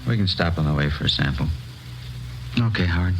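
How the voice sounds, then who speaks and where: Tough dope dealer man, talks fast and curt, low voice, old radio crime show. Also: expressive. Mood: terse, businesslike. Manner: curt, blunt. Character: terse, hard-nosed male narcotics gang dealer. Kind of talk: 1950s radio crime drama acting. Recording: faintly noisy vintage radio recording.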